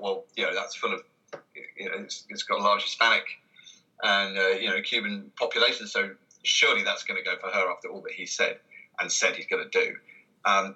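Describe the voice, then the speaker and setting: Man, very bright voice, hesitant rambling talk. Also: fairly low pitch, rough recording. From Britain. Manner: hesitant, rambling, self-interrupting delivery. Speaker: interview guest, comedy writer. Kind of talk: casual conversational interview.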